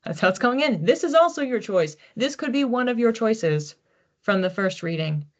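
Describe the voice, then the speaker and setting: A woman hosting an informal psychic card reading in a conversational, reflective way, with animated asides. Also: on the high side, normal speed, highs cut off. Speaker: psychic card reader, host.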